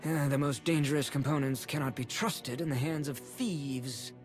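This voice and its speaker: A man's voice: medium pitch, normal speed, natural voice. A man playing a fussy, haughty curator and collector in a video game, speaking in pompous, theatrical phrases. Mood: haughty, flustered. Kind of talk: video game character acting. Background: music.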